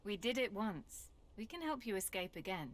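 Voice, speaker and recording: A woman's voice: medium pitch, very animated, moderate pace, lightly acted. A woman playing a tough, loyal fighter in a video game, blunt and terse. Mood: determined.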